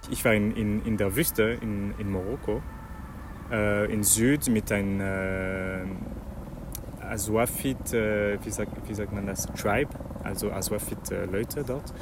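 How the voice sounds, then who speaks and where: A man's voice: lowish voice, steady tone, slow pace. Hesitant man, noisy street recording. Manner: hesitant, many fillers and restarts. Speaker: musician guest. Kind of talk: interview clip, searching for words.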